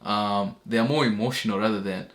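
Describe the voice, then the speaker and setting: A man hosting a reaction video, talking in a relaxed, chuckling, conversational way. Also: fairly low pitch, moderate pace, crowd noise. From Zimbabwe. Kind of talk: casual commentary.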